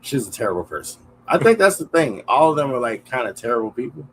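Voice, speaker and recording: A man's voice: mid-range voice, sing-song, fast talker, a bit bright, slight background noise, poor audio. A man co-hosting a podcast, chatting casually with blunt, slangy reactions. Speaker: podcast co-host. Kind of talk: casual chat.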